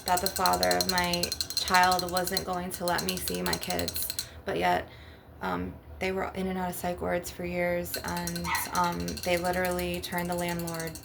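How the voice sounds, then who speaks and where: A woman's voice: fairly low pitch, completely flat delivery, a little slow. A woman rambling casually in a blunt, aggrieved, sweary way while making an ASMR video with tapping. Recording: clear background noise with clicking, clinking taps. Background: glass and crystal tapping.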